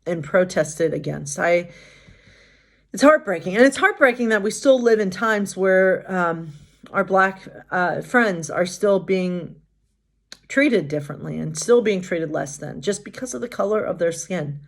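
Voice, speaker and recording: A woman pastor hosting bedtime stories, reading aloud in an informal, expressive way with casual asides. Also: fairly low pitch, unhurried, gravelly. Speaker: pastor and bedtime story host.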